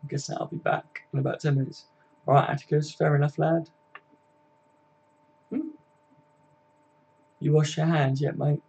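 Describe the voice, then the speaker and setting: A man's voice: fairly high pitch, little pitch movement, measured pace, gravelly, pauses often, a little mellow, breathy edge, lo-fi sound. A man, hosting a casual live stream, chatting matily with viewers and laughing easily. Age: 20s.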